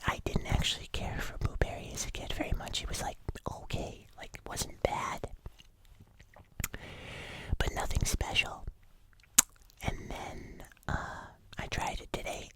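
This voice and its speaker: An ASMR host giving a casual food review in a breathy whisper, often pausing to correct themselves.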